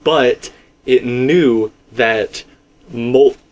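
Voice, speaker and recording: A man's voice: mid-range voice, drawn-out words, highs cut off, a little hiss or hum. A man hosting a casual, speculative discussion, talking in a rambling, stop-and-start way and often correcting himself. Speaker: host of a game theory discussion.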